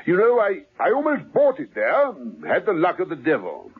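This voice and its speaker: A pompous retired brigadier, older and fussy, who blusters and repeats himself. His husky voice rises and falls with great liveliness. It comes through thin and tinny, like an old radio broadcast. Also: high voice, wildly swooping pitch, normal speed, heavily acted. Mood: placating. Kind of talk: 1970s radio drama acting.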